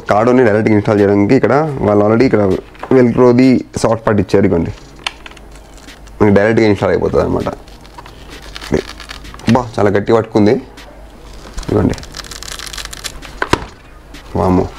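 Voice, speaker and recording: A man's voice: on the low side, fairly even intonation, fairly quick, creaky, long pauses, a little mellow, slight background noise. A man talking informally through a hands-on installation as a vlogger, mixing in English words and phrases. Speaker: motorcycle vlogger. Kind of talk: casual hands-on installation walkthrough.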